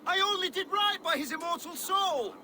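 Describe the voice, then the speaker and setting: A panicky, pleading Saxon priest. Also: male voice, very high voice, moderate pace, pushed and bright, a little hiss or hum, heavily acted. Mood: frightened pleading.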